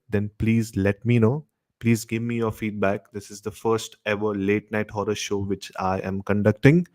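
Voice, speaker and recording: A man hosting a podcast, addressing listeners informally with deliberate, repetitive emphasis. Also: on the low side, dark tone, dry recording. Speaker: podcast host. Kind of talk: live horror show sign-off, informal address to listeners.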